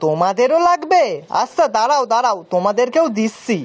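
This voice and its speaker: A woman's voice: on the high side, very animated, fast. A woman voicing a simple, kind-hearted village man in a children's cartoon, earnest and folksy. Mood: kindly.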